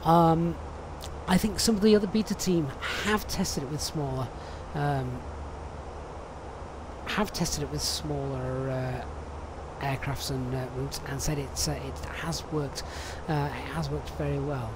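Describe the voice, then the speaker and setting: A man's voice: a little high, fairly even intonation, measured pace, background noise. A man streaming a flight simulator, chatting and reading out viewer questions with many ums and uhs. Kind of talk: live stream commentary.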